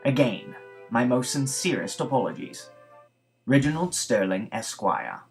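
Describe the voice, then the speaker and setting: A posh English gentleman in a cartoon story, raised in pitch and projecting loudly. He is pompous and lavishly courteous, with florid, old-fashioned phrasing, and music plays behind him. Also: very animated, measured pace, vocal fry. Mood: proud, grateful. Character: posh, effusively polite English gentleman letter-writer. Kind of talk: theatrical letter reading in animated story.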